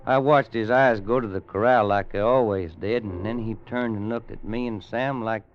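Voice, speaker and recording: Guy doing a folksy old west rancher, lowish drawl, simple honest talk, dull old radio recording. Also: moderate pace, faint music behind, natural voice. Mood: somber storytelling. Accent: American Western drawl. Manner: folksy, plain, hesitant at times. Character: plain-spoken, kindly rancher telling his tale. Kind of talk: old-time radio western narration and acting.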